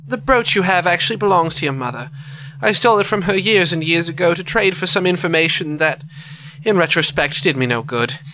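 An actor playing an ashamed, regretful father, speaking earnestly and haltingly in an audio drama. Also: high voice, lively intonation, a crowd in the background, poor audio. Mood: remorseful. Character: ashamed, regretful father confessing to his child.

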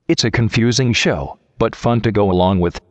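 A man's voice: on the low side, normal speed, no room sound. A man hosting a show in a scripted but conversational style. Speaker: show host.

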